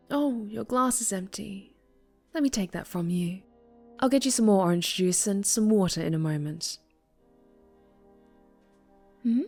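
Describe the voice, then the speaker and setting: A woman playing an obsessive female superhero captor in an ASMR roleplay, speaking in breathy coos and whispers with sweet, possessive menace. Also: on the low side, full character voice. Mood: apologetic, smug, then evasive, controlling. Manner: breathy cooing that slips into whispers and soft menace. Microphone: close microphone.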